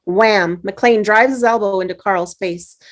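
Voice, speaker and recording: A woman's voice: mid-range voice, unhurried. Woman reading stage directions.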